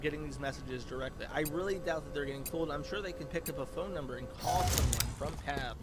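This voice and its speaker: A man streaming casual, rambling commentary in a halting, sarcastic way with some swearing. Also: a little high, heavy background noise. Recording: loud game music and gunfire behind. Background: video game music, gunfire and vehicles.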